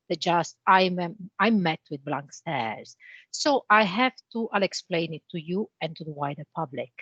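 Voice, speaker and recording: A woman with an Italian accent, a guest in a business interview, explaining with homely comparisons in a measured way. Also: fairly low pitch, fairly bright tone, dry recording, muffled recording. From Italy. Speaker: interview guest, channel manager.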